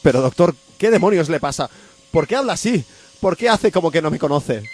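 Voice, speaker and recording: A man's voice: fairly high pitch, lively intonation, brisk, a little mellow, slightly rough. A man playing an insistent, melodramatic woman lover in a comic radio soap, overwrought and pleading. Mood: desperate.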